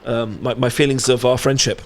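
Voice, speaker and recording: A man's voice: mid-range voice, fairly even intonation, normal speed, close to an everyday voice. A British man playing a shy, sweet best friend secretly in love, stammering and hesitant in a romantic ASMR audio roleplay. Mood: flustered, nervous.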